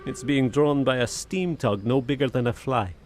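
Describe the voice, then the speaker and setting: A genteel nineteenth-century French gentleman, played by a male actor in an audio drama, speaking over prominent music. His mid-pitched delivery is florid and poetic, with theatrical effusiveness. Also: normal speed, natural voice. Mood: wistful delight. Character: genteel, poetic Frenchman of the 1880s, increasingly troubled. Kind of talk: audio drama acting, diary narration and dialogue. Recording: music behind the voice.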